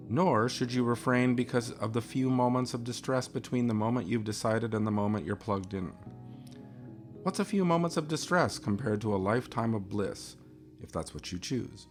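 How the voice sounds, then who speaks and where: A man reading a philosophy essay aloud in a measured, emphatic, educational audiobook style. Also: mid-range voice. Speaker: reader of a philosophy text.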